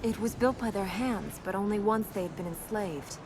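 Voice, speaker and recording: An actress playing a serious, guarded young princess in a video game, explaining earnestly and answering with dry wit. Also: female voice, mid-range voice, moderate pace, noisy background, close to an everyday voice.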